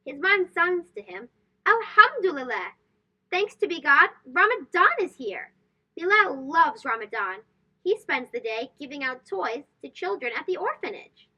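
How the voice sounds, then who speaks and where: A woman reading a picture book aloud to young children in an expressive, sing-song, emphatic way. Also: high-pitched, muffled recording. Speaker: children's read-aloud host. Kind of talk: animated storybook read-aloud for young children.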